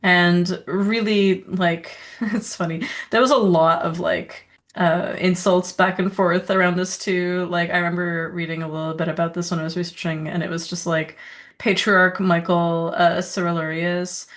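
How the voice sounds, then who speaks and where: A woman of origin with a slightly lowered pitch, chatting casually as a podcast co-host. She talks in loose, rambling runs, peppering her speech with filler words and easy laughter as she goes. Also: little pitch movement. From Serbia. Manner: rambling, quick asides, lots of "like" and laughter. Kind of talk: casual conversational chat.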